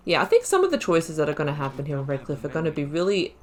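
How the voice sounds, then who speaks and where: Woman, gaming host, lower pitch, casual commentary, reflective, rambling. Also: wildly swooping pitch, very fast.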